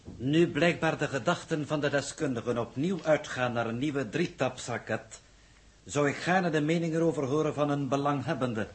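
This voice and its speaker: An actor playing a courteous, formal committee coordinator in a 1960s Dutch radio play. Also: male voice, mid-range voice, moderate pace, natural voice. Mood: polite, probing. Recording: old 1960s radio drama recording.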